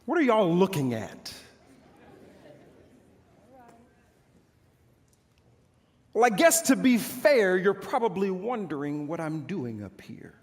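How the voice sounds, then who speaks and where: A man performing a theatrical stage monologue as a proud, bitter army commander, pompous and declamatory, with dramatic pauses. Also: high-pitched, sing-song, a little mellow. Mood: irritated. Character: proud, boastful male army commander of ancient Israel's era, bitter. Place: large church hall stage. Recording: echoey hall with long reverb.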